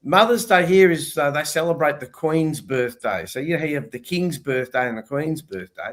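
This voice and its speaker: A man's voice: a little high, animated, normal speed. An older man hosting a casual panel show over a video call, sounding nasal and throaty from a cold, chatty and jokey. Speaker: host.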